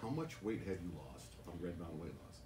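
A man's voice: low-pitched, expressive, quick pace, warm tone. A man in his forties hosting a morning radio show, talking loosely with a guest in a studio. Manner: loose, conversational. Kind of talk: radio interview. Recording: echoey studio, far camera mic, noisy.

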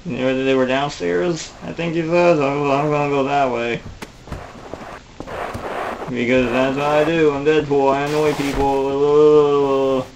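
A man's voice: on the high side, flat delivery, measured pace, a little mellow, slight background noise, low-quality recording. A man voicing a wisecracking male antihero who talks in a flippant, teasing way. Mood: puzzled.

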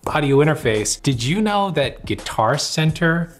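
A man's voice: fairly high pitch, big pitch swings, a little slow. A man in his thirties hosting a music gear show, mixing scripted news with casual review talk in a studio. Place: home music studio, indoors.

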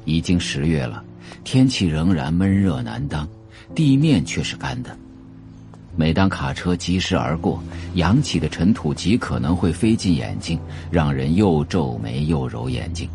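A man's voice: low voice, sing-song, unhurried, vocal fry, darkish tone. A man reading scripted narration for an audio drama, telling the story as a novel's narrator. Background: faint music.

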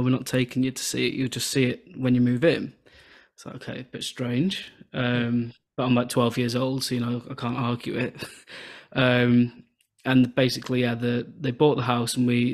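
An English man in his thirties from southern England, hosting a podcast over a video call with a soothing, relaxed cadence. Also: mid-range voice, normal speed. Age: 30s. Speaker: podcast host. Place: home room with shelves and lights. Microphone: podcast microphone on video call.

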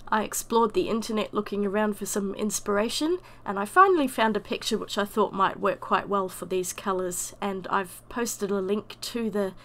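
A woman with a croaky voice from a summer cold, chattily narrating a watercolour painting voice-over. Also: mid-range voice. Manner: chatty, self-deprecating. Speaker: watercolour artist.

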